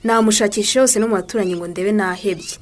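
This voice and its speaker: A woman's voice: medium pitch, quick pace, close to an everyday voice. A woman playing a concerned daughter in a radio drama. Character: concerned daughter searching for her missing grandfather. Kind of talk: Kinyarwanda radio drama acting.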